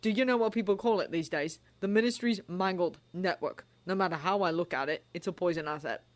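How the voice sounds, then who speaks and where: A woman voicing a blunt, smug young wizard, talking in a flat, dismissive way. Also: on the low side, brisk, natural voice.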